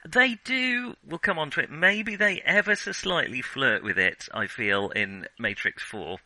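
A man's voice: a little high, expressive, measured pace, sharp tone, no echo, rough recording. A man co-hosting a casual film discussion, thinking aloud in a hesitant way. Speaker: podcast co-host.

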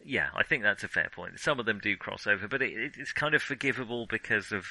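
Bright, crisp-edged man in casual film chat. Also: on the low side, no room sound, poor audio. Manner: halting, backtracking, thinking aloud. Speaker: podcast co-host.

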